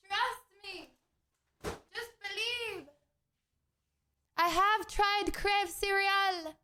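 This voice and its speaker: Casting: woman playing a snooty French madame for livestream comedy, very high voice, slow delivery, theatrical put-on French accent. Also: fairly bright tone. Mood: boastful, playful. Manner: pompous, theatrical, repetitive. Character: haughty, flamboyant French lady food snob with mustache. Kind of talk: playful in-character livestream chat.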